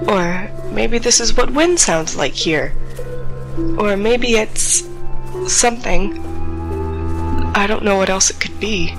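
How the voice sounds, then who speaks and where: A woman playing an anxious, self-doubting young student explorer stranded alone, talking to herself haltingly in an audio drama monologue. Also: medium pitch, expressive, music in the background. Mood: nervous, hopeful.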